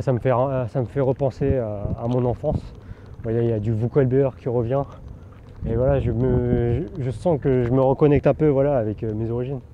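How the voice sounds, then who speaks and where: A man born in France, talking candidly while riding a bike outdoors, rambling with many fillers and restarts. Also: medium pitch. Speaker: vlogger. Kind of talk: casual spoken monologue. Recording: dull outdoor recording with background noise.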